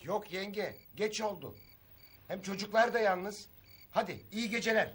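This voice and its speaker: An actor playing a weary, protective younger brother in a TV drama, pleading and placating, sometimes exasperated. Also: male voice, high-pitched, lively intonation, measured pace, fairly bright tone, husky, exaggerated voice. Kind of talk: Turkish TV drama acting.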